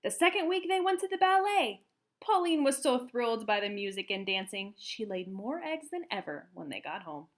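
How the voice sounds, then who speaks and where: A woman narrates a children's storybook in a high voice that swings widely in pitch. She leans into key words with an expressive, emphatic storyteller's delivery. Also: normal speed. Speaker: storybook reader. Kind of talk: animated children's read-aloud narration.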